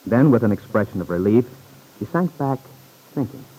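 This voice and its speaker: A man's voice: fairly low pitch, animated, moderate pace, mellow, slightly rough, poor audio. A man narrating an old radio serial in a dramatic, scripted style.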